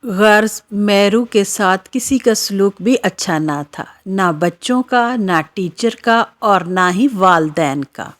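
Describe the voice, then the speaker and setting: A woman's voice: medium pitch, lively intonation, slow pace. A woman narrating a scripted children's moral story as the storyteller.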